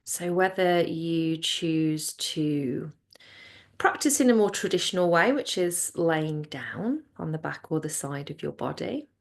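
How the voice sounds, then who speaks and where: A woman leading a guided relaxation as a yoga nidra teacher, speaking in a soothing, unhurried and gentle way. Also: fairly low pitch.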